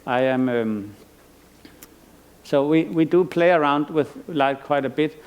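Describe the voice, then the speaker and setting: A man's voice: fairly high pitch, takes it slowly, a little mellow, clear, resonant tone. A middle-aged man from Iceland giving a conference lecture as an artist, thoughtful and rambling, with frequent restarts and fillers. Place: lecture stage.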